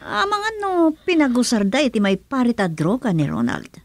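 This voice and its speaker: Hurt, indignant, animated young woman. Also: on the high side, moderate pace, dry recording. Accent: Filipino. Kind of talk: radio drama acting.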